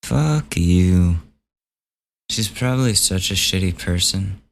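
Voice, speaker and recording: A gravelly, hoarse man talks slowly through a solo podcast, somewhat low in pitch. His manner is offhand and sweary as he muses over each item. A faint hiss sits under his voice. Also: animated. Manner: offhand, swears, thinks aloud while reading gossip items. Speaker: podcast host. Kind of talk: casual solo podcast commentary.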